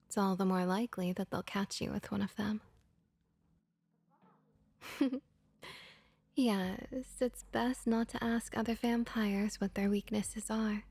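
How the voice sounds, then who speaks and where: A woman plays a kindly female vampire who tends bar at an inn, in an intimate ASMR roleplay style. Her voice is very breathy and soft, often slipping toward a whisper. She takes her time, sounding comforting and reassuring at a middle pitch. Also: exaggerated voice. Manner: unhurried, gentle, reassuring. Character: friendly, comforting female vampire innkeeper and bartender.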